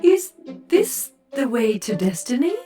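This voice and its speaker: A delicate alien lady voiced by a woman with a high voice and slow, lilting delivery. She sounds genteel and plaintive, a wistful creature from a comic sci-fi audio drama. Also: sing-song, mellow, soft background music. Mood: polite, weary. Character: wistful, delicate female alien, last of her kind.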